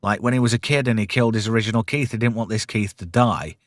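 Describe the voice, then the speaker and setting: A man's voice: on the low side, fast talker, dry recording, studio quality. A British man hosting a pop culture show, recapping casually in sweary, irreverent slang.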